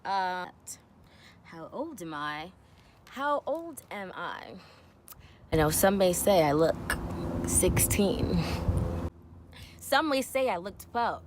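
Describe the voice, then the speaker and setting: A woman's voice: lowish voice, big pitch swings, takes it slowly, long pauses, background noise. A woman in her twenties from New York, chatting casually in a Q&A vlog and often filling with "you know". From New York, USA. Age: 20s. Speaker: vlogger.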